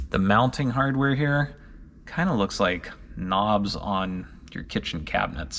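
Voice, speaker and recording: A man's voice: on the low side, fairly bright tone. A man narrating a hands-on vlog in an informal way, thinking aloud as he examines things. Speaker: car owner and vlogger.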